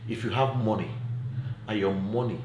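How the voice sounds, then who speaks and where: A middle-aged man with a Nigerian accent delivers an earnest appeal in a low voice. His phrasing is deliberate and emphatic. The phone recording sounds roomy, with faint noise behind it. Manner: deliberate, emphatic, rhetorical questions. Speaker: child welfare advocate and presenter. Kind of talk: earnest advocacy vlog to camera. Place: small indoor room at home. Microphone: phone at arm's length.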